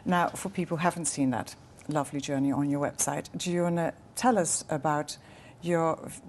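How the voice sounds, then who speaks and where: A woman with a very low-pitched voice hosts a relaxed, conversational interview. She is attentive and keeps adding small words of agreement. A little room noise sits behind her. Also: normal speed. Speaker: interviewer, host. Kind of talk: casual chat interview.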